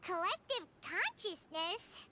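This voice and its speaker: A woman playing a tiny, childlike, curious fairy companion in a video game, with a squeaky voice. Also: big pitch swings, slow pace, very noisy, exaggerated voice. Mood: puzzled. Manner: exclaiming, puzzled.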